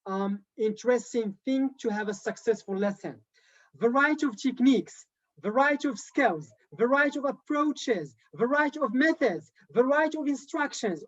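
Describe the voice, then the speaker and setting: A man's voice: very high-pitched, muffled recording. A man leading a live online teacher-training session, lecturing in an encouraging way and repeating his key points. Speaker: TESOL trainer.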